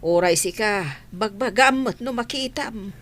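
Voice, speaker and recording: A woman's voice: medium pitch, measured pace, lightly acted. A woman playing an exasperated older mother in a radio drama, complaining with an Ilocano accent. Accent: Ilocano Filipino. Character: exasperated older mother complaining about her grown daughter.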